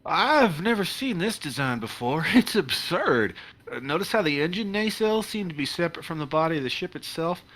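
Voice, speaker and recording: A man's voice: on the high side, very animated, brisk, fairly bright tone. A man playing an amiable, practical starship engineer, conversational in a sci-fi comedy play. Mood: intrigued.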